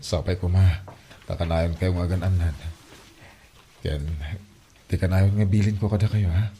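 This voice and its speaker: A man with an Ilocano accent playing a gentle, concerned older father in an audio drama, giving fatherly advice. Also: deep voice, steady tone, normal speed, slight background noise, lightly acted.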